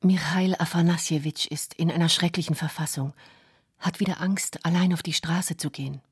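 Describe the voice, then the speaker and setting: A deep, husky voice as a writer's wife reading private diary entries. The delivery is restrained and intimate, recorded very dry for radio. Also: female voice, steady tone, moderate pace, no echo. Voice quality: husky, low.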